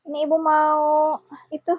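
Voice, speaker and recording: A woman's voice: high-pitched, monotone, measured pace, darkish tone, dull audio. A middle-aged woman, chatting informally and giggly to viewers and family in a casual home vlog. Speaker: family vlogger and mother.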